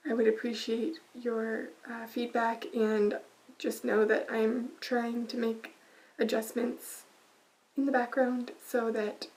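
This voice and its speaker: A quiet-voiced woman hosting a casual knitting podcast, soft-spoken and full of small ums and uhs. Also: on the high side, distant mic, low-quality recording. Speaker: podcast host.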